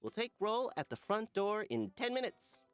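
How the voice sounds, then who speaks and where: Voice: mid-range voice, very animated, normal speed, smooth, no room sound, soft background music, natural voice. An actor voicing an upbeat, dry-humored male middle school teacher in a visual novel, talking theatrically to a class. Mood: playful. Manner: theatrical, addressing a class of children. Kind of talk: animated visual novel character acting.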